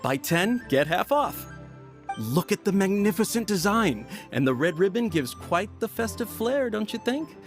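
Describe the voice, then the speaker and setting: A man voicing a smooth-talking tea merchant with a persuasive salesman patter. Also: high voice, very animated, normal speed. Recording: game audio with music.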